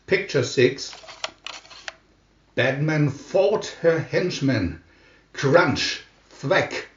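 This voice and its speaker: A man narrating a scripted storybook in a deliberate, theatrical announcing manner. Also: a little high, lively intonation, a little hiss or hum. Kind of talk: dramatic scripted storybook reading. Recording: dull lo-fi, roomy echo.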